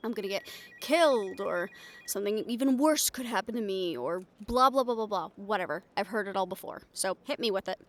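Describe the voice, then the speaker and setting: A woman's voice: medium pitch, expressive, brisk, a little hiss or hum, natural voice. A woman playing a brash, stubborn, ambitious young reporter in an improvised audio roleplay, with a sarcastic, cocky, conversational delivery. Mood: frustrated, sarcastic.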